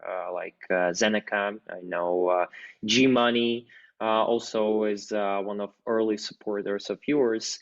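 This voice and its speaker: Casting: male podcast interviewer, fairly low voice, halting and wordy, muffled lo-fi recording. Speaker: interview host. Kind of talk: conversational interview hosting.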